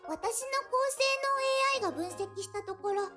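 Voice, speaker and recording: A woman's voice: very high-pitched, lively intonation, rapid-fire, music in the background. A woman voicing a cute, childlike robot girl in a Japanese visual novel, perky, polite and smugly proud of herself. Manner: perky, smug, polite phrasing.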